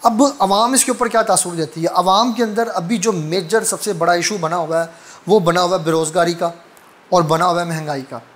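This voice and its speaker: A man's voice: high voice, fairly even intonation, moderate pace. A man with a Pakistani accent presenting news commentary.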